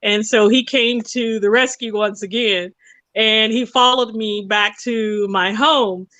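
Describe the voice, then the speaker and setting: A woman's voice: fairly high pitch, steady tone, slow pace, bright, forceful tone, no echo. A woman talking conversationally and explaining things emphatically through anecdotes and analogies.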